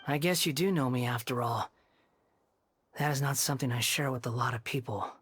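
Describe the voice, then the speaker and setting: A man voicing a polite, guileless young wanderer, soft-spoken and unassuming, in a video game. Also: mid-range voice, brisk, husky, soft background music. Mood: earnest, polite.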